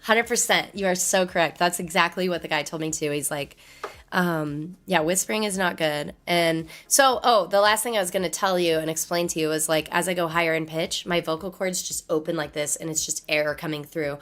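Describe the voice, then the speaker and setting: A woman musician with a hoarse voice from laryngitis, chatting casually and rambling as she answers her livestream viewers. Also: fairly low pitch, brisk, a bit bright. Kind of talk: casual chat with stream viewers.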